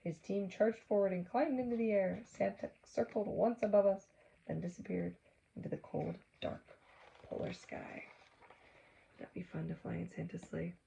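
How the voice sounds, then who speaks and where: A woman's voice: mid-range voice, normal speed, gravelly, lo-fi sound, slight background noise. A woman reading a children's book aloud expressively, stopping to chat with and question her young listeners. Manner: animated, acts out exclamations, asks listeners questions.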